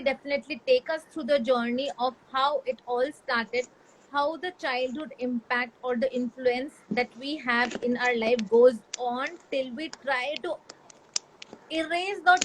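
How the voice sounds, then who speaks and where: High-voiced Indian woman hosting a talk. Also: noisy background. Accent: Indian English. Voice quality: high, youthful voice others call a baby voice. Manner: switches between English and Hindi mid-sentence; earnest, asks the audience questions. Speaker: host of a live mental health talk. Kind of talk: casual talk to a live audience.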